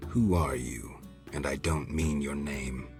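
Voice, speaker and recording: An actor playing a stoic, world-weary monster hunter in a video game, terse and deadpan. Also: male voice, rumbling low, slow pace, over music. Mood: guarded. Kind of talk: video game dialogue acting.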